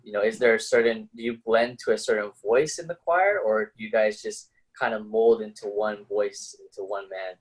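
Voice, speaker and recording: A man's voice: fairly low pitch, normal speed, hardly any pauses, breathy edge, poor audio. A man interviewing casually as a fellow singer, phrasing and rephrasing his questions tentatively. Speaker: interviewer, choir bass singer.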